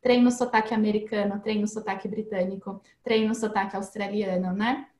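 A woman's voice: medium pitch, steady tone, fairly quick, darkish tone, echoey room. A woman guest giving casual, chatty advice on learning English, explaining with everyday examples and frequent tag questions.

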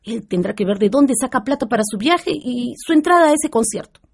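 An actress with a Peruvian accent playing a prudent, firm wife guarding the household money in a radio drama. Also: female voice, on the high side, expressive, brisk, gravelly, slightly rough, full character voice. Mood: firm, worried. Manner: practical, firm.

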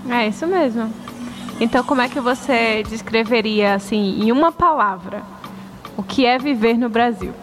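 A woman with a fairly high voice hosts a casual podcast and asks easygoing, slightly hesitant questions. Faint music runs underneath. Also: moderate pace. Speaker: podcast host.

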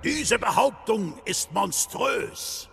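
An actor playing a stern, stubborn old Lord Chancellor in an audio drama, with a very creaky voice and emphatic, imperious delivery. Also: male voice, high-pitched, lively intonation, slow, fairly bright tone, full character voice. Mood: indignant, imperious. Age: older. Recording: echoing hall, faint background noise.

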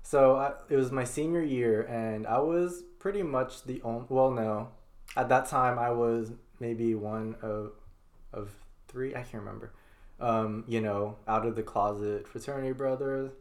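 A man's voice: medium pitch, a little slow. A man in his twenties co-hosting a podcast, chatting casually and teasing his co-host. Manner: chatty, teasing, laughs often. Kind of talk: casual chat.

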